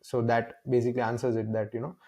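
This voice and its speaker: Guest slot: young man from India, warm dark tone, lively storyteller who acts out exchanges and restarts sentences. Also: medium pitch, fast. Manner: animated storytelling, acts out dialogue, many restarts. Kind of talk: casual conversational interview.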